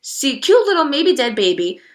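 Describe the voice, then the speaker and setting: A woman's voice: high voice, very animated, moderate pace, voice pushed up. A woman voicing a blunt, exasperated and bossy female bird in a storybook.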